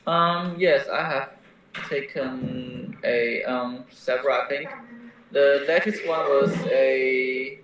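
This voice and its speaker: A man's voice: medium pitch, steady tone, fairly bright tone. Echoey distant young man, hesitant. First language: Mandarin Chinese. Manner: hesitant, pauses with fillers. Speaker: interviewee, language teacher. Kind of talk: answering interview questions. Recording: very echoey room, mic far off, faint noise.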